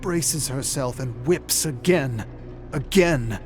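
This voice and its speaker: A man delivering grave, deliberate, theatrical narration of a dark fantasy story. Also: mid-range voice. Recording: music behind the voice. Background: dramatic music.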